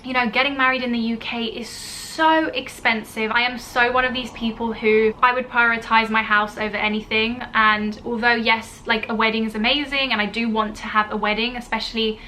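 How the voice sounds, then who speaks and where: Bright-voiced chatty young woman. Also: a little high, little pitch movement, a little fast, hardly any pauses, slight background noise, poor audio. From born in Italy, grew up in the UK. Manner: chatty, gushing, full of "like" and "you know", acts out quotes. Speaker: vlogger. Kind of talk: casual storytime chat to camera.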